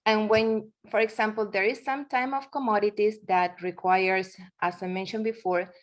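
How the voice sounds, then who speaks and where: A woman's voice: mid-range voice, fairly even intonation, moderate pace. A woman in her forties from Argentina, speaking English with an Argentine accent, giving a presentation with frequent fillers and restarts. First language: Spanish. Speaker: guest speaker. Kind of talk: webinar presentation.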